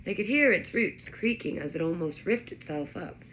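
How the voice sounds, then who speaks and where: A woman's voice: lowish voice, lively intonation, normal speed, a bit bright, low-quality recording. Woman reading a storybook aloud, faint noise. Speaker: audiobook-style reader. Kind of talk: expressive storybook narration.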